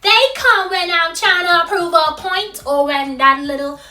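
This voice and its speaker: High bright-voiced Trinidadian woman. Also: echoey room, distant mic. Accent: Trinidadian with American influence. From Trinidad and Tobago. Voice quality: high-pitched youthful voice. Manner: expressive, lively, quick when excited, laughs often. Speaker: vlogger. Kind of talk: casual talk to camera.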